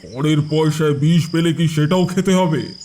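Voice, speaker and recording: A man's voice: on the high side, normal speed, mellow, a little hiss or hum, lightly acted. An actor playing a stubborn, orthodox father who scolds his son and gloats over his own arguments. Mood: scolding. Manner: scolding, self-satisfied.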